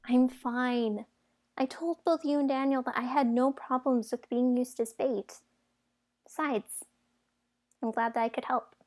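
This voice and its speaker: A woman's voice: sits high, steady tone, a little slow, darkish tone. A woman acting a plucky, kind young fairytale heroine in an audio drama. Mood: relieved, playful.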